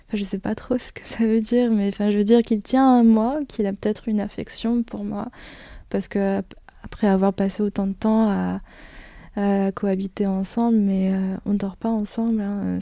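A woman's voice: mid-range voice, dark tone, dry recording, studio quality. A woman in her twenties telling her own story in a candid interview, hesitant, with many fillers and restarts. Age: 20s. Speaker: interviewee giving a personal testimony. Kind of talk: candid personal account.

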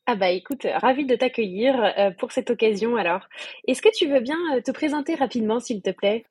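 A woman's voice: on the high side, a little fast, darkish tone, slightly rough. A woman hosting an interview podcast, reading introductions and putting questions to a guest. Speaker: podcast host.

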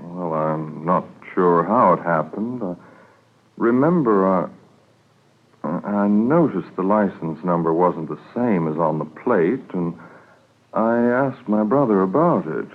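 Evasive, low-voiced radio drama suspect. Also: male voice, lively intonation. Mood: evasive, hesitant. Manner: hesitant, hedging, halting. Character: evasive male robbery suspect making lame excuses. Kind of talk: 1950s radio drama acting. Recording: muffled 1950s radio transcription recording.

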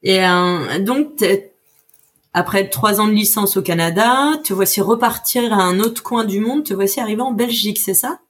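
A woman's voice: mid-range voice, normal speed. A woman conducting a casual interview in an informal, supportive way, rephrasing what she hears.

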